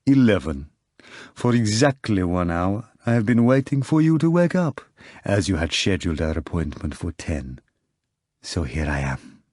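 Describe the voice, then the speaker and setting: A man performs a mysterious foreign gentleman from a storybook. His heavy, low voice carries a foreign accent, and he speaks with slow, formal courtesy and calm command, in a dry, close sound. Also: dry recording. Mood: suave, formal. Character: mysterious suave male foreign stranger, calmly commanding. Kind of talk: audiobook character voice.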